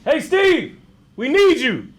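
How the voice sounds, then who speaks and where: A woman's voice: sits high, sing-song, slow pace, heavily acted. A woman playing a casual, cheeky bar employee in a live comedy table read. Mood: urgent. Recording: noisy live stage.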